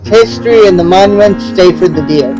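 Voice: mid-range voice, a little fast, over music, low-quality recording. A person chatting casually on a video call, gushing playfully and stumbling over words now and then. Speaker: virtual tour participant.

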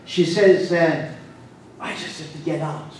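Older male guest speaker, halting and full of fillers, fairly high voice, roomy distant sound. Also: steady tone. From Turkey. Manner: halting, many restarts and fillers. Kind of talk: academic lecture discussion. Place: large lecture room. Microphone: podium microphone, standing. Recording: echoey room, distant mic, faint noise.

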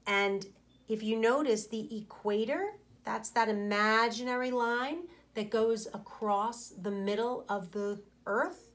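A woman in her forties, a teacher reading aloud to children and explaining, with deliberate, pausing phrasing. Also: fairly high pitch.

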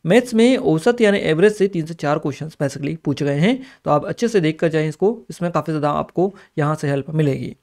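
High-pitched man teaching. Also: expressive, a little fast, few pauses, a little mellow. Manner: instructive, repeats key points. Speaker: exam coaching teacher. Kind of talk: explanatory exam-review lesson, reading notes aloud with commentary.

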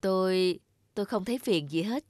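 Actor as a lonely, discontented husband. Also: male voice, high voice, normal speed, darkish tone, dry recording, studio quality, lightly acted. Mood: tender, earnest. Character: lonely husband and father, discontented with his wife. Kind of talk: animated cartoon dialogue. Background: music.

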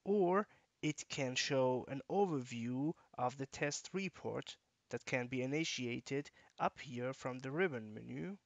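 A man giving scripted, measured narration for a software screencast tutorial, explaining features step by step. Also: mid-range voice, vocal fry, no echo. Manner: measured, instructional.

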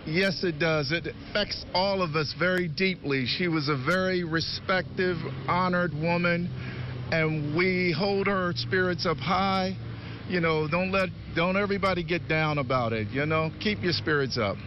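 A polite man from Washington, D.C. answers a reporter with an earnest, respectful manner and a high voice. The outdoor recording sounds a little dull, with faint street noise. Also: measured pace. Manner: polite, earnest, says "yes, sir". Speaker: cruise tourist.

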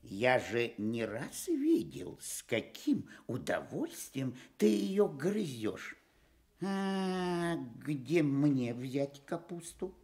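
An actress playing a naive, self-satisfied stork in a children's radio story, theatrical and musing. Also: female voice, a bass voice, big pitch swings, very slow, a little mellow, breathy edge, heavily acted. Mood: curious.

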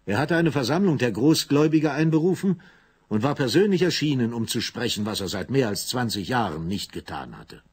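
A man's voice: medium pitch, animated, a little fast, husky. A man narrating a radio play with a vivid, dramatic reading.

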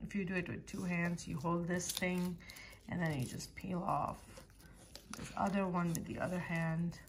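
Woman, home gardener, relaxed how-to talk; slowish, gravelly voice, a bit low, faint hiss behind. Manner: unhurried, with many fillers and self-corrections. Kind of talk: casual how-to demonstration. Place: home, at a wooden table indoors.

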